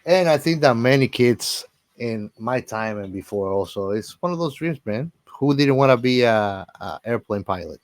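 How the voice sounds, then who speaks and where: A man with an average-pitched voice chats as a podcast co-host in a very dry recording. His talk is loose and informal, full of buddy-like asides. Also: expressive, moderate pace, no room sound. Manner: informal, often ends phrases with "man". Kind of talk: casual film podcast chat.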